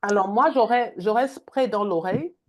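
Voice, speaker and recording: A woman's voice: medium pitch, moderate pace, slight background noise. A woman leading an online product training, talking with many fillers and restarts. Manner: hesitant, many fillers and repeated words.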